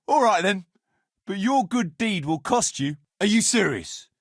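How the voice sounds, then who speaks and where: Blunt, animated medieval soldier. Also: male voice, high-pitched, a little slow, no room sound, clean studio sound, full character voice. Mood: gruff, mocking. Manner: blunt, earthy, swearing. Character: rough, foul-mouthed medieval soldier leading a hard-drinking band. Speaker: video game voice actor. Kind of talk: video game dialogue acting.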